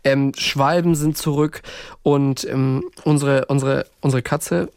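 A man's voice: mid-range voice, steady tone, slow, darkish tone, clear, resonant tone, no echo, clean studio sound. A man talking informally and conversationally as a podcast co-host. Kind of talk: casual chat between co-hosts.

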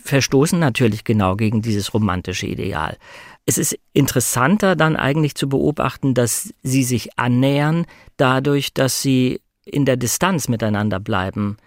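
A man's voice: mid-range voice, no echo, studio quality. A man talking reflectively and conversationally about film and novels, explaining his analysis as a guest in a discussion.

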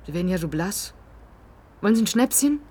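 Blunt, chatty vendor. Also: female voice, medium pitch, sing-song, brisk, mellow, husky. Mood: blunt, offhand. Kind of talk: German radio crime drama acting.

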